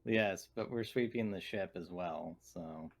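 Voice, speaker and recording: A man with a low voice takes part in a tabletop roleplaying game. He speaks casually but decisively, steering what the group does next. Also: measured pace, creaky.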